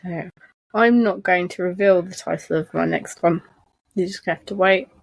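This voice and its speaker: Soft-spoken woman co-hosting a podcast. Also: lowish voice, moderate pace, gravelly, husky, no room sound, poor audio. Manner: soft-spoken, rambling with restarts. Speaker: podcast co-host. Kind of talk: casual chat. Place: living room sofa at home. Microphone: shared desk microphone.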